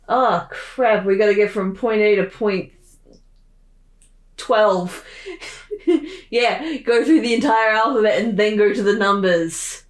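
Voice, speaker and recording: A woman co-hosts a creator commentary as one of its voice actors. She chats casually at a slow pace, with a mid-range pitch. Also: reverberant.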